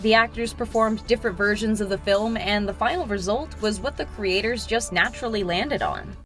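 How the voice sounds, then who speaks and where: A woman's voice: medium pitch, moderate pace, soft background music. A woman reading scripted entertainment narration as a video narrator.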